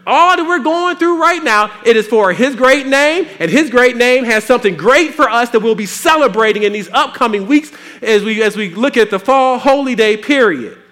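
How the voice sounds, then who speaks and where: A man preaching a sermon in a folksy, animated way with playful asides. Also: very high voice, moderate pace, few pauses.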